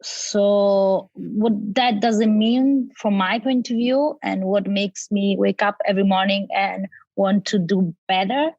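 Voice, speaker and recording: A woman's voice: mid-range voice, steady tone, slow pace, few pauses, darkish tone, no room sound. Italian-accented woman, dull dry recording. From Italy. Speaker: panel guest, education company representative. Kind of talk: conversational explanatory panel talk.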